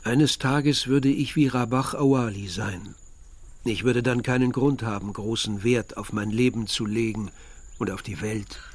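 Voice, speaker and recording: An actor playing a reflective first-person narrator of a village youth, measured and pensive in a literary radio play. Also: male voice, mid-range voice, natural voice. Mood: brooding.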